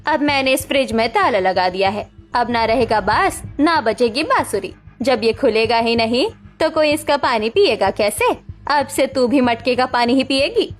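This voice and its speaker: A woman's voice: a little high, animated, normal speed, lightly acted. A woman voicing a strict, bossy older mother-in-law who scolds and gives orders. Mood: stern. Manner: scolding, commanding.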